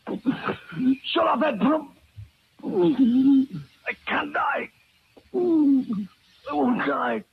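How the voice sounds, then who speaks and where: An actor playing a desperate old villain gasping for air in a 1940s radio melodrama. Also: male voice, very high-pitched, animated, very slow, creaky, pauses often, full character voice. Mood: frantic, panicked. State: gasping for breath. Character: trapped, suffocating old villain, frantic and desperate. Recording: dull noisy 1940s radio recording.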